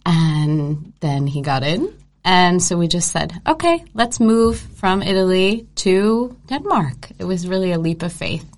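A woman in her thirties, a guest on a casual podcast, telling her story in a relaxed, measured way. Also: on the low side. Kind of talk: casual chat.

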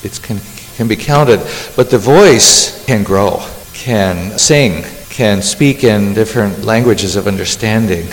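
A man speaking reflectively as a panelist, with measured, lyrical phrasing. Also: fairly low pitch. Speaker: panelist, poet. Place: large hall, live panel. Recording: reverberant hall.

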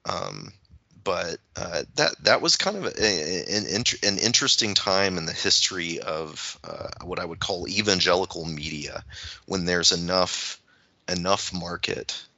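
Very deep, bright-edged man, halting. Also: lively intonation, gravelly, no room sound, muffled recording. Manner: halting, searching phrasing with repeated words. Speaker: podcast panelist. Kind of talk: reflective podcast discussion.

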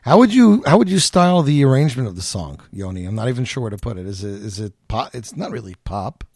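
A man's voice: mid-range voice, big pitch swings, fast talker, vocal fry, darkish tone, no room sound, studio quality. A man interviewing a singer in a casual, chatty way with many fillers.

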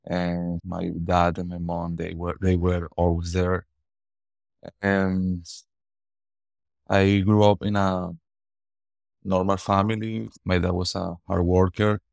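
A man in his forties from Venezuela with a Venezuelan Spanish accent, answering interview questions earnestly and haltingly, often repeating words as he searches for phrasing. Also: deep voice, flat delivery, vocal fry, a little mellow, dry recording. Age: 40s. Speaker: guest.

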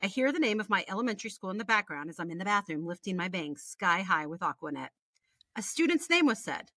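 American woman, forties, podcast storyteller, candid and wry, mid-pitched voice, dry studio-like sound. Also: big pitch swings, fast, sharp tone, no echo. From Texas, United States. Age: 40s. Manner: candid, wry, swearing casually. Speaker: podcast co-host. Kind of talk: reading a personal true story aloud with chatty asides.

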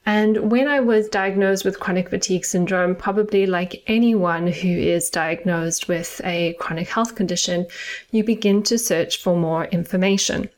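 A middle-aged woman from South Africa with a South African accent, hosting her own podcast in a measured, earnest, explanatory way. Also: on the low side. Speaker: podcast host and nutritional therapist. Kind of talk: scripted solo podcast monologue.

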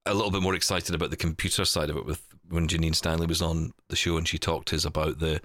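A man's voice: very deep voice, little pitch movement, fast, fairly bright tone, dry recording, clean studio sound. A man chatting casually as a podcast host, candid and a little hesitant, often repeating his words. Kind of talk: casual chat.

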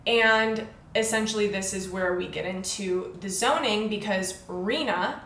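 A woman's voice: medium pitch, measured pace, a bit bright. A young woman co-hosting a podcast, explaining planning rules in a chatty, filler-heavy way. Her voice sits in a roomy, echoing space with a faint hiss of ambience underneath. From Southern California. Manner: chatty, fillers like "like" and "you know". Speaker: podcast co-host. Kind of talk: casual explanatory chat.

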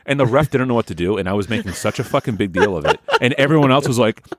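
A man in his thirties co-hosting a podcast, swearing freely and telling stories in a booming voice. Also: animated, quick pace, no room sound, studio quality. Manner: profane, loud storytelling, booming voice. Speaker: podcast co-host. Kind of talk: casual chat.

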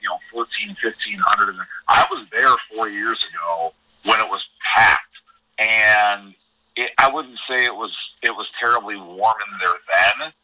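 Forceful, bright-voiced male hockey analyst. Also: fairly low pitch, normal speed, rough recording. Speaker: hockey broadcaster, interview guest. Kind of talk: conversational sports analysis.

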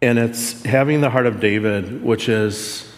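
A man's voice: mid-range voice, unhurried. A man giving a sermon, telling a personal story in an earnest, self-deprecating way. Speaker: preacher. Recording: long hall reverb.

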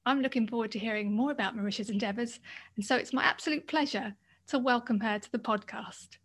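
A woman hosting her podcast, reading a measured scripted introduction and then asking her guest questions. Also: fairly high pitch. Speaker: podcast host.

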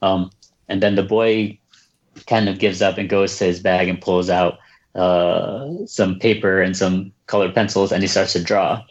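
A reserved, soft-spoken man from the United States, answering questions about his books in a casual interview with many fillers and restarts. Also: low-pitched, little pitch movement, measured pace, dull audio. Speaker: children's book author, guest.

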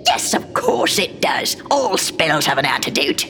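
A woman playing an evil queen disguised as a cackling old hag in a comic radio play, with a cracked, breathy voice. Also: low voice, sing-song, a little slow, a bit bright, faint music behind, full character voice. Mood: testy, scheming. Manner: gleefully wicked, theatrical.

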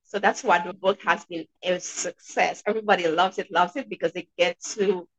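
A woman's voice: fairly low pitch, fairly even intonation, normal speed. A woman in her thirties with a Haitian accent, answering conversationally as a podcast guest, repeating words for emphasis. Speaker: podcast guest, dentist and debt coach. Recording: slightly dull, lo-fi sound.

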